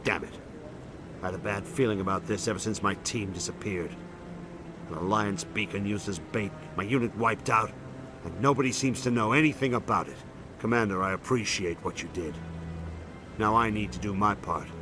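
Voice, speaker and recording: A man's voice: on the low side, normal speed, music in the background, natural voice. A man playing an earnest, troubled admiral in a science fiction video game, speaking formally and with weight. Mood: grim, resolved. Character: earnest, troubled male navy admiral of space opera. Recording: clear background ambience noise.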